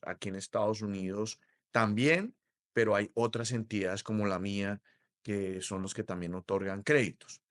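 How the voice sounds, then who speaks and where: A middle-aged Colombian man with a Colombian accent, explaining mortgages as an interview guest in a measured way, with many hesitations. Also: fairly low pitch, no echo, clean studio sound. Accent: Colombian Spanish. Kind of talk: interview conversation.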